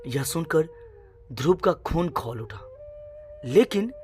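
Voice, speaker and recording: A woman narrating a scripted suspense story in a dramatic, measured way, in short phrases. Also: deep voice, expressive, darkish tone, faint music behind.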